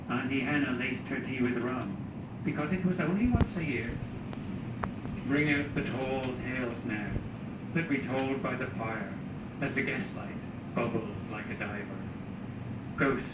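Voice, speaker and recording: A man reading a literary story aloud in an expressive, lyrical, theatrical way. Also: medium pitch. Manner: lyrical, theatrical, acts out quotes. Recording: echoey, distant, loud background noise.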